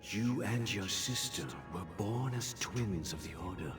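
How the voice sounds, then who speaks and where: An actor playing a calm, grave old master and father figure in a video game, speaking in a solemn, measured, patronizing way. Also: lowish voice, lightly acted. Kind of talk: dramatic video game acting. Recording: game audio under loud music.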